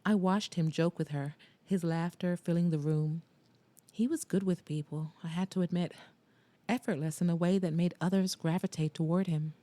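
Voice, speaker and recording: A woman reading a first-person romance audiobook in a soft, intimate storytelling way and hosting her podcast. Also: lowish voice, steady tone, normal speed, dry recording. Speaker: narrator and podcast host.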